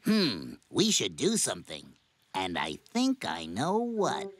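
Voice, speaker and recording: A woman voicing a kindly, take-charge female character in a children's cartoon, with a bright, organising manner. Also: a bass voice, wildly swooping pitch, takes it slowly, full character voice. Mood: concerned. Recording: music playing behind the voice.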